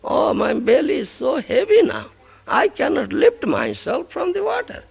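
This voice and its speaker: A man's voice: very high-pitched, huge pitch swings, measured pace, gravelly, husky, voice pushed up, heavily acted. A man voicing an amazed male disciple complaining he is too full to move, on a dull lo-fi recording.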